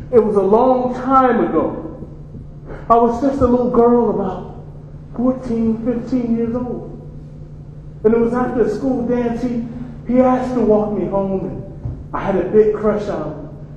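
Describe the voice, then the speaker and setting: A sorrowful mother unburdens an old hurt, her high voice dragging slowly from phrase to phrase, as a man plays her onstage. The sound is dull and lo-fi, ringing around a large, echoey hall. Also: a little mellow, far from the mic, slight background noise. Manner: slow, heavy, halting storytelling. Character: grieving mother recalling a painful youth. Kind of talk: stage play monologue.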